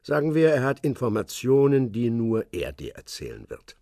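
An actor playing a cryptic old retired secret-service man who talks in measured hints. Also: medium pitch, huge pitch swings, dark tone, no echo, studio quality. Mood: calm. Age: older. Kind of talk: radio play acting.

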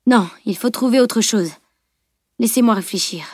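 Woman as teenage girl, sharp-tongued, clever, bossy, raised pitch, close dry sound, audiobook dialogue. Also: moderate pace, dry recording, lightly acted. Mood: scornful. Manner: commanding, sarcastic, impatient.

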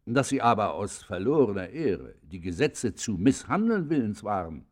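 A deep-voiced, stern judge. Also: male voice, sing-song, normal speed. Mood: reproachful. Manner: formal, admonishing, literary. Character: stern, pitying male judge questioning a criminal. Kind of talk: German classic radio play acting.

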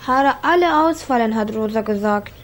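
High-voiced young Afghan boy, acted out by a woman. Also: animated, normal speed, background noise, natural voice. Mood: wistful, eager. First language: Dari. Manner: broken German, short simple phrases. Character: young Afghan boy, sick in hospital, brave but wanting. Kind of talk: radio play acting.

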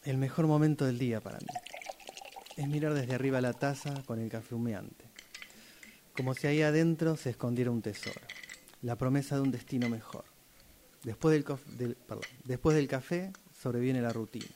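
A man's voice: mid-range voice, moderate pace, warm tone, a little hiss or hum. A man from Paraguay reading a dramatic monologue as a student exercise.

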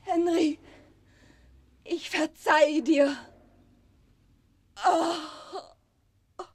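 Slow, high-pitched melodramatic woman. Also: fairly even intonation, full character voice. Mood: tearful melodrama. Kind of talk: theatrical radio drama acting.

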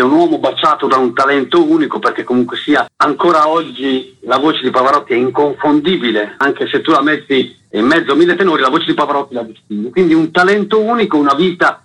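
A man with a bright, forceful and fairly high voice that has a husky edge. He is an actor being interviewed on radio, talking conversationally and explaining with emphasis. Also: low-quality recording.

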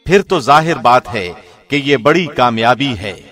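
A man narrates translated scripture in a formal, measured way. His pitch is mid-range for a man. The voice carries a long reverberant tail. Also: lively intonation, a bit bright, faint music behind. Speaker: translation reader, radio host.

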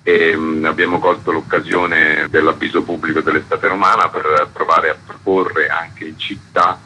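A man's voice: extremely low pitch, flat delivery, normal speed, bright, forceful tone, slight background noise, rough recording. A man speaking conversationally as a festival director interviewed on radio.

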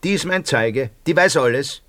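An actor playing a nervous, self-justifying barber in a radio drama, rambling colloquially with a Viennese accent. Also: male voice, mid-range voice, animated, moderate pace, a bit bright, no echo, lightly acted. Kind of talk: naturalistic radio drama dialogue.

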